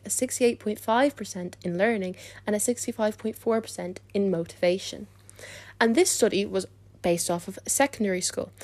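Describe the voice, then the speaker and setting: A woman's voice: mid-range voice, a little mellow, no room sound. A nineteen-year-old woman student co-hosting a podcast, making an earnest, emphatic argument and repeating her points. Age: young adult.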